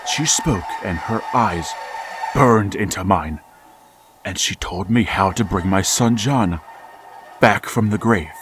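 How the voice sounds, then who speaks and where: A man's voice: on the low side, takes it slowly, a bit bright, a little hiss or hum. A man playing a desperate grieving father. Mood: hushed. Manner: anguished, pleading, rising to frantic outbursts. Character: grieving middle-aged father desperate to reach his dead son. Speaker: narrator and father. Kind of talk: vintage-style radio drama acting. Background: music.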